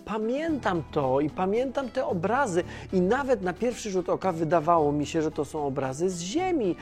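A man's voice: sits high, sing-song, normal speed, a little mellow, soft background music. A man playing a caring, easygoing mission controller who chats informally in a children's educational audio drama. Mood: interested explaining. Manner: conversational, hesitant, informal. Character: Tomasz, caring, chatty, easygoing male mission controller on Earth.

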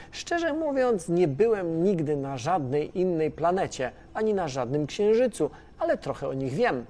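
A man hosting a children's science series in a playful scripted way, conversational and talking straight to his listeners. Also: on the high side. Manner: animated, conversational, addresses listeners directly.

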